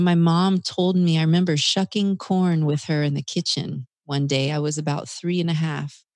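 Woman, American-raised, low register, reflective conversational storyteller for an interview, dry studio-like sound. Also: a little mellow, dry recording. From United States, South Carolina. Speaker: interview guest.

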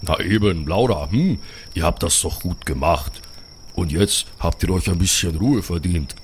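A man playing a calm, reassuring Viking warrior in an improvised tabletop audio play, speaking in a soothing, patient way. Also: deep voice, moderate pace, a little hiss or hum, pitched below the performer's own voice, natural voice.

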